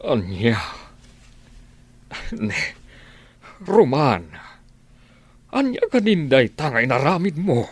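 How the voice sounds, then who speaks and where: A man's voice: medium pitch, very animated, slow, long pauses, slightly rough, full character voice. A man with an Ilocano Filipino accent acting a concerned, persuasive middle-aged father and boss in a radio drama. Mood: concerned, questioning.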